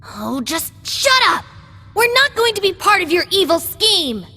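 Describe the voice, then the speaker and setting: A defiant young woman in a game cutscene, her high voice ringing in a long reverb. She is easily exasperated and sharp-tongued, swinging widely in pitch, with a protective streak under the irritation. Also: very animated, measured pace, soft background music, exaggerated voice. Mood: angry. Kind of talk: video game cutscene acting.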